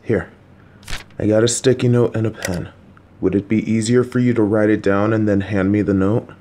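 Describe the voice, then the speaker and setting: A man's voice: on the low side, steady tone, moderate pace, mellow, slight background noise, natural voice. A man voicing a patient, slightly clueless, supportive middle-aged father who coaxes and reassures his child, with jokey asides. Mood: calm, coaxing. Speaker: voice actor in an audio roleplay. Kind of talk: listener-directed audio roleplay.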